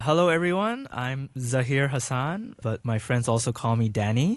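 A man's voice: mid-range voice, lively intonation, brisk, dry recording. A young man from Hong Kong chatting casually as an interview guest. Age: young adult.

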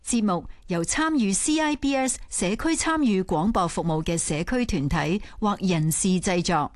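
A woman's voice: mid-range voice, lively intonation, moderate pace, no echo, studio quality. A woman reading a scripted station announcement.

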